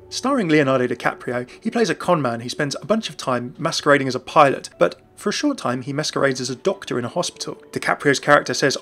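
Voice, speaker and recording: A man's voice: a little high, a bit bright. Man narrating game lore. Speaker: gaming lore video host.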